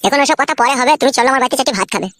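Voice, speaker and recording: A man's voice: very high-pitched, racing, fairly bright tone, no echo, clean studio sound. A man voicing a generous, inquisitive village householder in a comic cartoon, insistent and chatty. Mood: sympathetic, insistent. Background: music.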